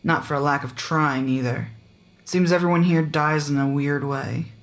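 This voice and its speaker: A woman playing a gruff, jaded small-town sheriff in an audio drama, with a blunt, dry, world-weary manner. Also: deep voice, fairly even intonation, normal speed.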